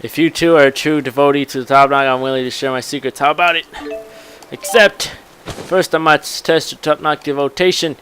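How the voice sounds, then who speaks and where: A man's voice: a little high, fairly even intonation, moderate pace, a bit bright, faint music behind, lightly acted, low-quality recording. A man voicing a boastful, devoted topknot master from a game, in a grandiose, theatrical manner.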